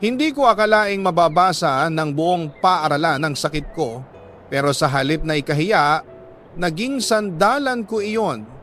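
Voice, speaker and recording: Higher-voiced Filipino man, sorrowful dramatic storyteller. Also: a bit bright, soft background music. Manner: sorrowful, deliberate, with dramatic pauses. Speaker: radio drama host reading listener letters. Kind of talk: dramatic scripted storytelling, first-person letter reading.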